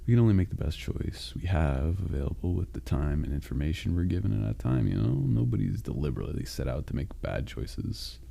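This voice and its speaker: A man voicing a caring male sleep paralysis demon, speaking softly, with halting reassurance, in a comforting roleplay monologue. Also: sing-song, heavily acted. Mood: soothing. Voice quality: deep gravelly growl. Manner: soft, reassuring, halting and unhurried.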